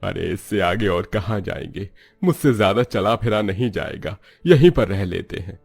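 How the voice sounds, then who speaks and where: A man voicing a gentle, weary father of a poor farming family in a cartoon story, with a homely, reassuring manner. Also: on the low side, expressive, normal speed, vocal fry, slightly rough, dry recording, full character voice.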